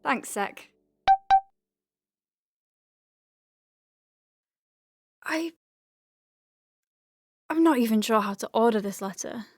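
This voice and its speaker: An actress playing an earnest, awkward young clinic doctor in an audio drama, speaking hesitantly and naturally with self-corrections. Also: female voice, on the high side, animated, measured pace, no room sound, studio quality. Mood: hesitant, amused. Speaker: doctor dictating patient letters.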